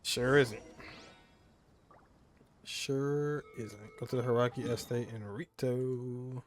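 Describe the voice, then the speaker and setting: A man's voice: mid-range voice, fairly even intonation, slow pace, slight background noise. A man giving casual playthrough commentary as a gaming streamer.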